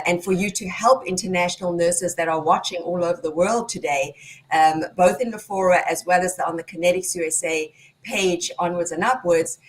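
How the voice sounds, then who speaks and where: A woman's voice: lowish voice, poor audio. A woman hosts a panel talk and introduces each guest in turn. She speaks in an upbeat, friendly manner with frequent small fillers. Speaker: talk show host. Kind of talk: moderating a panel.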